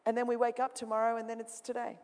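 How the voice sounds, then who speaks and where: A woman preaching to a congregation, her voice ringing through a large auditorium with a long reverb. She moves from loud, lively imitation to quiet, intense confession. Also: on the high side, quick pace. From Australia. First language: Greek. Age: 40s. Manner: dramatic, swings from loud mimicking to hushed intensity. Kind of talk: sermon.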